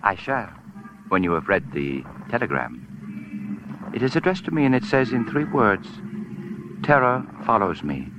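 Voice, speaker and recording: Learned, polite Chinese art professor, vintage radio. Formal, deliberate wording, animated pitch, muffled recording. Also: male voice. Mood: courteous, grave. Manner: formal, ornate, deliberate phrasing. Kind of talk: 1940s radio drama acting. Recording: dull, noisy old radio recording.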